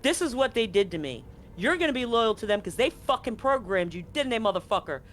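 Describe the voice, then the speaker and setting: A bitter Marine who feels betrayed, acted out by a man at a tabletop game. His voice sits fairly high, and he is confrontational, accusing and profane, firing angry questions. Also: sing-song, fairly quick, fairly bright tone, soft background music. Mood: furious, accusing.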